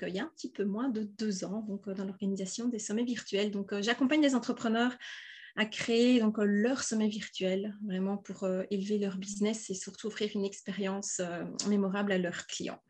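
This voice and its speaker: A woman's voice: mid-range voice, steady tone, a little fast, darkish tone. A woman from southern Belgium with a Belgian French accent, a podcast guest talking casually, with many fillers and restarts. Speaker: podcast guest, event organizer. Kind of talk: casual interview talk.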